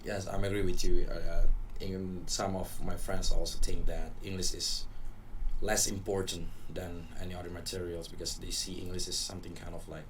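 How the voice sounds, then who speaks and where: Young Indonesian man, very deep, halting English, distant mic with light hiss. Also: level tone, fairly bright tone. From Papua, Indonesia. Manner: hesitant, searching for words. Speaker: student podcast guest. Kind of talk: casual chat. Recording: distant mic with faint noise.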